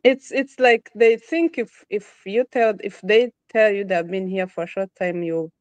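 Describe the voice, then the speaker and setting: A woman's voice: medium pitch, a little slow, a little mellow, no echo. A woman with a Rwandan accent speaks in a mid-range voice as a podcast guest. She tells stories conversationally and repeats short phrases for emphasis. The sound is dry, with little room in it. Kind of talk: casual conversational interview.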